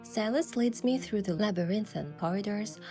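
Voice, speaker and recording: Woman voicing young hunter girl from a game, mid voice, wistful first-person narration, playful edge, soft music behind. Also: medium pitch, moderate pace, lightly acted. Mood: reflective, descriptive. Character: young female hunter heroine, earnest, wistful, playfully cheeky.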